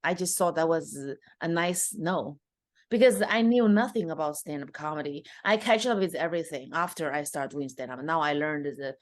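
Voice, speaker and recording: A woman's voice: sits low, moderate pace, no echo. A woman in her thirties from China, speaking with a Chinese accent, telling her story as a comedian guest in an interview with many fillers.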